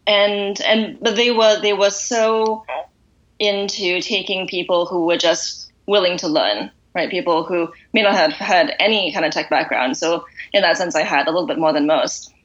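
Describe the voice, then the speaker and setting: A woman from Singapore, a podcast guest, talking in a relaxed, thoughtful way with many fillers. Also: on the low side, normal speed, lo-fi sound. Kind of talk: casual interview.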